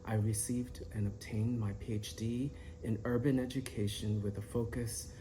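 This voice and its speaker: A man in his fifties, born in the Philippines, introducing himself as a professor and choreographer in a measured way with small restarts. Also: lowish voice, flat delivery, low-quality recording. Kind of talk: self-introduction to camera.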